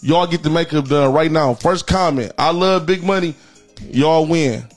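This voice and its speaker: A man's voice: a little high, a little slow, soft background music. An American man in his thirties hosting a comedy livestream with casual, profane, repetitive slangy banter. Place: indoor studio in front of a printed backdrop.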